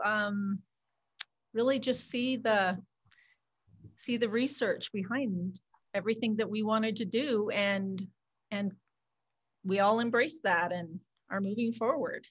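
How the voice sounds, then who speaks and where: A woman in her forties, a school leader, speaking reflectively on a video call from a classroom. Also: fairly high pitch. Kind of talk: casual video call panel. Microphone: laptop webcam on video call.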